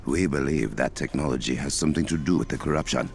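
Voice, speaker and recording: Very deep-voiced African warrior king. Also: darkish tone, slight background noise. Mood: grave, measured. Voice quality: deep, gravelly. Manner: measured, formal, commanding. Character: dignified warrior king and masked protector of his nation. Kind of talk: video game cinematic acting.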